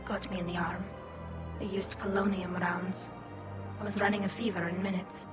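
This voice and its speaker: An actress playing a gentle, earnest young alien refugee in a video game, speaking in a measured, reflective way over music. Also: female voice, lowish voice, little pitch movement, natural voice. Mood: recounting, hurt.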